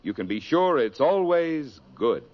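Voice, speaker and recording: A man's voice: on the high side, very animated, a little slow, dull audio, heavy background noise. An American man reading a 1950s radio advertisement with an emphatic, polished sales delivery. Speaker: commercial announcer. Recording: band-limited vintage radio broadcast recording.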